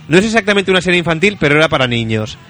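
A man's voice: on the high side, very animated, rapid-fire. A man from Spain with a Spanish accent hosting a radio show, chatting casually and jokily and reading out listener messages. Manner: jokey, colloquial. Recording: music playing behind the talk. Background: music.

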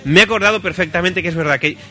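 A man with an accent from Spain hosting a casual radio show, chatting in an excitable, rambling and sweary way. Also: high-pitched, very fast. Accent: Spanish from Spain. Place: radio studio, at a microphone.

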